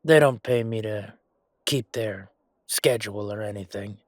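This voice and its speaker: A man's voice: lowish voice, measured pace, dry recording, studio quality, natural voice. A man voicing a chatty, self-satisfied merchant in a fantasy audio roleplay, with a casual, drawling, hesitant way of talking. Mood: matter-of-fact. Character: male merchant, chatty, self-satisfied, grumbling royal supplier.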